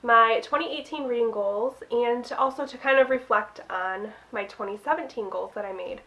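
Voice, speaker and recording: A woman's voice: on the high side, normal speed, pushed and bright, lo-fi sound. A young woman with a stuffy nose from a cold, chatting casually to camera about her reading, with lots of little "um"s and laughs. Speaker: book vlogger.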